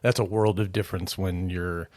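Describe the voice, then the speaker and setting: A man's voice: deep voice, fairly even intonation, moderate pace, darkish tone, no echo, studio quality. A man in his forties hosting a podcast, laughing often and talking with many fillers and hesitations. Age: 40s. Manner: giggly, hesitant, full of fillers. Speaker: podcast host. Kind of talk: casual interview chat.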